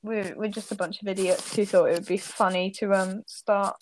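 A woman's voice: mid-range voice, steady tone, normal speed, a little mellow, slight background noise, rough recording. Giggly young woman on a call. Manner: giggly, stumbling over words. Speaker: podcast host. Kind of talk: casual chat among friends.

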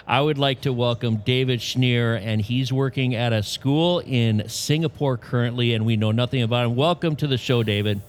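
An upbeat man co-hosts a casual podcast, joking with his guest. His pitch sits in an everyday middle range. He talks over a remote call mic. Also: normal speed, few pauses, a little hiss or hum. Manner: upbeat, teasing. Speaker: podcast co-host.

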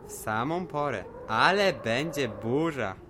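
An actor playing a casual, easily excited teenage son in an audio drama. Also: male voice, medium pitch, takes it slowly, noisy background. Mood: breezy, concerned. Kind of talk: Polish audio drama dialogue.

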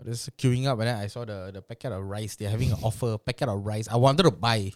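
A man's voice: fairly low pitch, a little fast, no room sound, clean studio sound. A middle-aged man from Singapore with a Singaporean English accent, co-hosting a casual chat and rambling with lots of restarts. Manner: rambling with many restarts, mock-exasperated. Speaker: podcast co-host.

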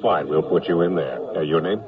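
An actor playing a vain, officious army major who is easily riled, in 1970s radio comedy style. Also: male voice, extremely low pitch, a little fast. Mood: self-important, officious. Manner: officious, hesitant uhs, spelling things out emphatically. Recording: tinny old radio broadcast, crowd noise behind.

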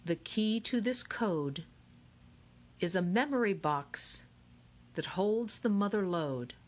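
A woman's voice: mid-range voice, lively intonation, takes it slowly. A woman voicing an eccentric, playful grown man who runs a game, speaking theatrically in an audiobook. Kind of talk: audiobook character reading.

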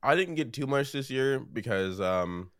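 A young man co-hosting a podcast in casual chat, slightly hoarse as he starts to lose his voice. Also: mid-range voice, measured pace, no room sound, studio quality. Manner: relaxed, rambling, laughs often. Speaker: podcast co-host.

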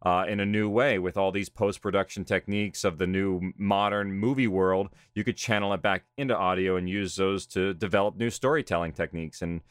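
A man's voice: low-pitched, fairly even intonation, brisk, few pauses, clean tone, no room sound, studio quality. A man speaking in a documentary interview as a writer, director and creator of audio drama. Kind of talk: documentary interview about their own work.

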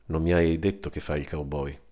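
Mild worried brother, calm and probing, as read by the male narrator. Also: extremely low pitch, moderate pace. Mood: cautious.

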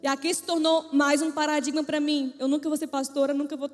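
A very high-pitched woman preaching in a big reverberant hall, faint music under her words. She tells her story playfully and acts out every voice she quotes, like a witty preacher addressing her congregation. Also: level tone, fast talker. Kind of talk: humorous testimony sermon.

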